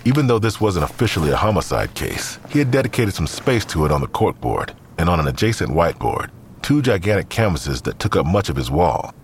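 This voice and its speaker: A man's voice: low voice, sing-song, brisk, a little hiss or hum. A man reading scripted third-person narration for an audio drama.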